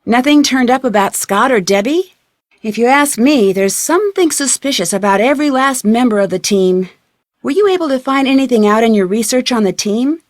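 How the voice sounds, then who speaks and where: A woman acts out a young female sleuth from a video game, with a fairly high voice and a close, dry sound. She is polite and inquisitive, putting her questions with open curiosity. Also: expressive, normal speed, dry recording, natural voice. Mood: curious, friendly. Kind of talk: video game dialogue acting.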